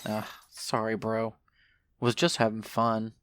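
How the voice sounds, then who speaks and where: An easygoing skeleton brother performed by a woman talks low in an audio story. He is a joking, nonchalant small-time swindler who drawls in casual slang. The sound is dry and close. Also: monotone, takes it slowly, fairly bright tone, clear, resonant tone, no echo, heavily acted. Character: laid-back, joking skeleton older brother, a lazy swindler.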